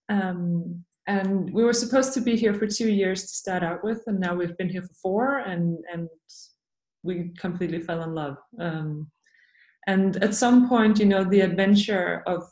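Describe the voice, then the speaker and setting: A woman from Denmark, a podcast guest, speaking thoughtfully with many pauses and fillers. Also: fairly low pitch, darkish tone, roomy sound. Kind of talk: podcast interview.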